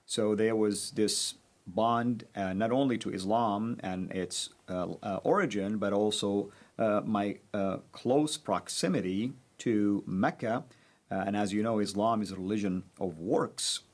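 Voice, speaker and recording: Low-voiced man with measured, hesitant delivery. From Saudi Arabia. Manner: measured, frequent pauses and fillers. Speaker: guest. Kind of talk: personal testimony in conversation.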